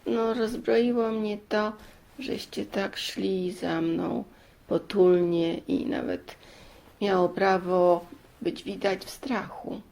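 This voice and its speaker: Woman as patient village mother, slow-spoken. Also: mid-range voice, fairly even intonation, gravelly, darkish tone. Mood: gentle explaining. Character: sturdy village mother, patient, loving and firm.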